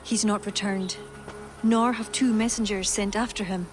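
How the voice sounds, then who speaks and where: An actress playing a wry, brisk adviser in a video game, speaking. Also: female voice, medium pitch, steady tone, soft background music, lightly acted.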